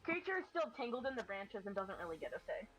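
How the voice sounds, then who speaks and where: A woman's voice: mid-range voice, very animated, fast talker, over a noisy crowd, poor audio. A woman playing a tabletop game, casually narrating what a character does. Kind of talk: casual chat, narrating a character in third person.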